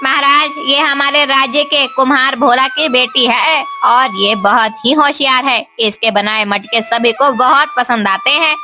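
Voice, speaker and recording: Obliging cartoon courtier played by a woman, high register, respectful, over music. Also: unhurried, few pauses. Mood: deferential. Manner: respectful, formal. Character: deferential, obliging royal minister, a man. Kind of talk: cartoon story dialogue.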